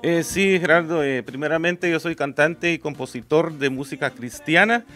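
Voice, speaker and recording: A man's voice: a little high, normal speed, sharp tone, soft background music. A Guatemalan man in his forties, a singer-songwriter answering interview questions in a small studio, hesitant with many fillers. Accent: Guatemalan Spanish. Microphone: handheld mic held by host.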